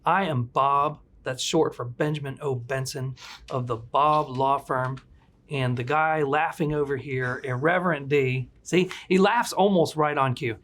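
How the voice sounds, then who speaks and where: A man's voice: mid-range voice, fairly even intonation, measured pace, fairly bright tone. A man hosting a legal podcast in casual, joking talk, retelling a case with loose asides. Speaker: podcast host, attorney.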